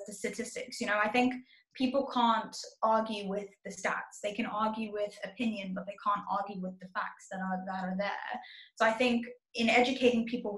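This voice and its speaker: A woman's voice: mid-range voice, fairly bright tone, reverberant, low-quality recording. A young woman from Zimbabwe speaking earnestly as a panelist on a video call, with frequent restarts. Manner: earnest, thoughtful, many restarts.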